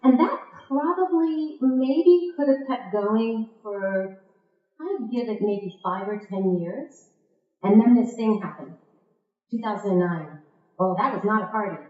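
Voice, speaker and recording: A woman speaking to a conference audience like a theatrical storyteller, with rhetorical questions and emphatic pauses, in a very echoey, muffled recording. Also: mid-range voice, lively intonation. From Croatia. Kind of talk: marketing keynote to a live audience. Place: indoor conference stage.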